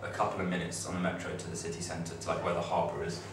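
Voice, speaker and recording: A man's voice: low voice, completely flat delivery, very fast, gravelly. A young man chatting loosely to fellow students in a classroom, full of fillers and restarts. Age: young adult. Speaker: student on a study-abroad panel. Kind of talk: casual informal talk to students. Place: classroom with projector screen. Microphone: far camera. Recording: echoey room, distant mic, noisy.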